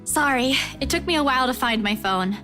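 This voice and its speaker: A woman's voice: high voice, animated, brisk, sharp tone, soft background music. An actress playing a polite, apologetic young woman in a visual novel game.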